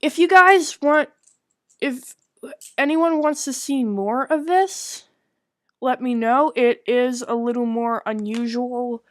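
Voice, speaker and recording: A woman's voice: high voice, slow pace, no echo. A woman hosting a gaming video, chatting casually to her viewers.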